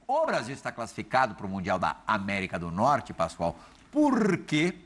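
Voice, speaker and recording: A man from Brazil hosting a TV football debate, talking briskly and conversationally while putting questions to the panel. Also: lowish voice, huge pitch swings, a bit bright. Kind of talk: TV sports debate.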